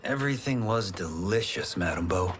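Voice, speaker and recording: A man playing a contented, sensible young farmer in a video game drama, reasonable and gently teasing. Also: low voice, expressive, normal speed, background noise. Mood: friendly. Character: contented, level-headed young male farmer, friendly rival.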